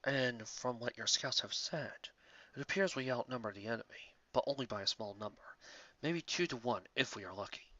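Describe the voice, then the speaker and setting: A man voicing a calm antelope chief, a low-key tactician who speaks deliberately. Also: dry recording, highs cut off, close to an everyday voice. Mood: cautious.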